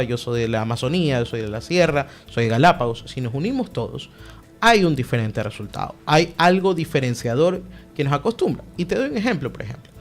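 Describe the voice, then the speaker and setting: Emphatic man, lively pitch. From Ecuador. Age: young adult. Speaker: political guest, party director. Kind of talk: radio interview answer.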